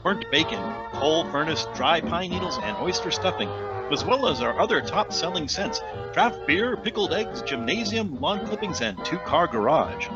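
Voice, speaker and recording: A man playing a mock-earnest radio announcer in an old-time commercial parody, salesy with dramatic pauses. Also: on the high side, big pitch swings. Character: mock-earnest radio announcer pitching silly sponsor products. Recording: dull lo-fi sound, loud music bed.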